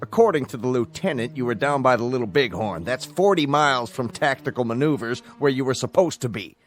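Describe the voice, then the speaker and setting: Biting, mid-pitched army captain played by a man. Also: animated, fairly quick, natural voice. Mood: scornful. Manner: biting, authoritative. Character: stern, sarcastic army captain dressing down his men. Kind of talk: radio drama acting.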